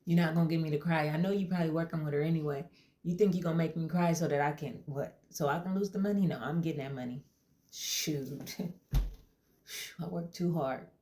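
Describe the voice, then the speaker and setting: A woman voicing a brash, defensive young mother in therapy who talks loud and fast and pushes back on every question. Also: low-pitched, close to an everyday voice. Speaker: therapy client. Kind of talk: comedy sketch acting.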